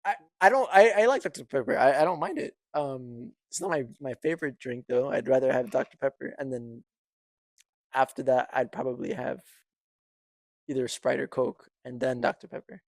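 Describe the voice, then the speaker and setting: A man's voice: mid-range voice, moderate pace, vocal fry, darkish tone, no echo. A young man co-hosting a podcast in casual chat, insistent as he argues his point, with some laughter. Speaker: podcast co-host.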